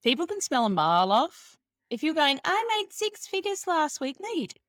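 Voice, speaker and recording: A woman's voice: sits high, big pitch swings, normal speed, fairly bright tone, dry recording, clean studio sound. A woman guest on a podcast who talks about business bluntly and cheekily, with strong emphasis. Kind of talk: casual interview chat.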